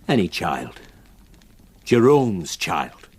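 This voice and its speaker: An actor playing a grave countryman in a radio drama, telling of a haunting slowly and deliberately. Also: low voice, sing-song, slightly rough, exaggerated voice. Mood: ominous. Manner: slow, deliberate storytelling with weighty pauses.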